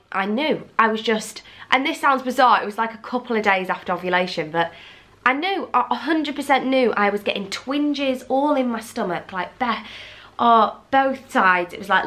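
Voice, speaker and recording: A woman's voice: medium pitch, pushed and bright. A young woman vlogger from England chatting candidly to camera, with a blocked, fluey nose and lots of fillers and restarts. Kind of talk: casual chat to camera.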